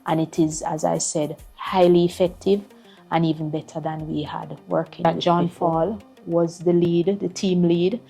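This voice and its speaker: A woman's voice: on the low side, flat delivery, moderate pace, vocal fry, dark tone, soft background music. A middle-aged woman, a school principal giving earnest interview answers and repeating words as she finds them. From Jamaica.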